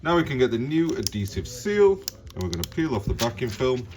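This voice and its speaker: A man's voice: medium pitch, sing-song, brisk, a little mellow, slight background noise. A man hosting a repair tutorial, narrating step by step in a plain, practical, informal way.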